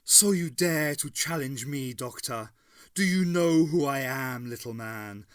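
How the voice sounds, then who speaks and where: Monster read: a man doing a boastful she-worm creature, loud and gloating, dry close sound. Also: animated, measured pace, clear, resonant tone, no room sound, close to an everyday voice. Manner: boastful, booming threats. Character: menacing female time worm monster.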